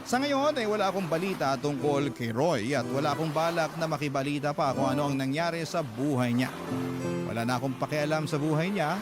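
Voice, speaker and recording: Filipino male narrator over loud music. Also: a little high, lively intonation, a little fast. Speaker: narrator reading a letter. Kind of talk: dramatic scripted narration.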